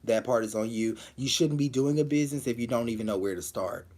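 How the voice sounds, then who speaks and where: A man giving quick live tarot readings to viewers, talking bluntly and repeating his points with many fillers. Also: mid-range voice, a little mellow. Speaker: tarot reader.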